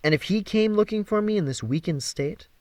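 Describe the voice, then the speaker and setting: A man narrates a young-adult fantasy audiobook in a slightly high voice. His intonation swings widely, with emphatic, theatrical phrasing. The sound is dry and close, without room echo. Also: lively intonation, brisk. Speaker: audiobook reader.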